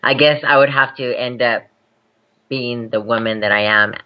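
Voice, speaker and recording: A woman's voice: extremely low pitch, fairly even intonation, moderate pace. Giggly candid woman, lo-fi podcast audio. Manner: candid, laughs easily. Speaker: interview guest. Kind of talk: casual interview chat.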